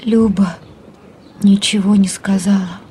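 A woman narrating a story in an audio drama, measured and in short phrases. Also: medium pitch, steady tone, dark tone, a little hiss or hum, natural voice.

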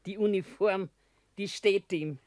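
Slow, homely Bavarian widow played by an actress. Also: female voice, mid-range voice, darkish tone, no echo, natural voice. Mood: plain, wistful. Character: homely Bavarian small-town widow, plain and chatty. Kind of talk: radio drama dialogue.

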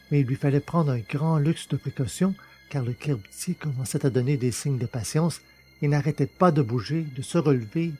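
A man's voice: medium pitch, mellow, breathy edge. A man reading a science-fiction novel as a scripted, dramatic audiobook narration. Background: music.

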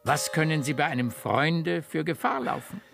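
An actor playing a courteous, anxious master of a country household in a classical German radio comedy, speaking formally and emphatically. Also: male voice, on the high side, sing-song, moderate pace, creaky, music in the background. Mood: pressing, uneasy.

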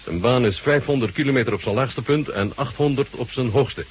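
An actor playing a jovial, shrewd Soviet marshal in a radio drama, with a husky voice and a bantering, courteous manner. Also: male voice, mid-range voice, brisk, slight background noise, natural voice, rough recording. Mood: calm, explaining.

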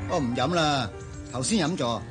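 An actor playing a breezy rich bachelor with a knowing, insistent manner in a Hong Kong Cantonese radio drama. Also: male voice, medium pitch, normal speed, music in the background, lightly acted. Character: breezy, pushy rich bachelor and old friend.

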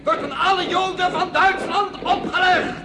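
A man's voice: very high voice, steady tone, moderate pace, reverberant, a loud crowd, heavy background noise. An actor playing a male official who proclaims decrees in a radio play. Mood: proclaiming. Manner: stiff, proclaiming. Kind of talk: radio drama acting.

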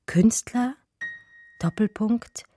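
A woman's voice: fairly high pitch, very slow, warm tone, no room sound, faint music behind. A woman playing an articulate, biting art historian who tells her own story in a radio drama. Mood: breathless discovery. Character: female art historian recounting her discovery in first person. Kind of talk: dramatic first-person radio play narration.

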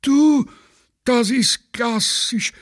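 Man putting on a high, plaintive Viennese poet. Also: fairly bright tone, no echo, studio quality, full character voice. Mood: plaintive, self-important. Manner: slow, drawn-out verse reciting. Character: plaintive, vain Viennese amateur poet, male.

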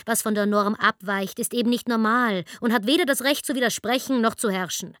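A woman's voice: on the high side, a little fast, a bit bright, no echo, clean studio sound, lightly acted. A woman playing an ironic, matter-of-fact narrator, delivering scripted satire.

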